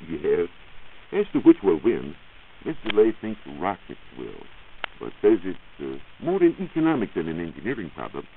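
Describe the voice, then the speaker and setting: A hissy, narrow old radio recording of an American man with a very low voice. He explains science as a reporter would on a 1940s program, in measured, formal phrases with lively rises and falls. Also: vocal fry. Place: radio studio.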